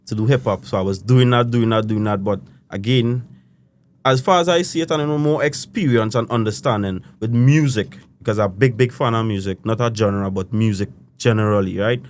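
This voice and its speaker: A man's voice: lowish voice, brisk, slight background noise. A young Trinidadian man with a Trinidadian accent, a musician being interviewed, talking casually and rambling with loose island phrasing and lots of "you know". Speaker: guest musician.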